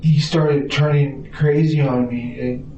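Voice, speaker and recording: Male American interview suspect, hesitant and repetitive, flat delivery, picked up by a distant mic in a roomy space. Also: on the high side, slow. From Arizona, United States. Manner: hesitant, stammering, repeating words. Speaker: suspect being questioned by police. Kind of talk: police interview answers. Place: police interview room. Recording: distant roomy mic, dull audio, faint noise.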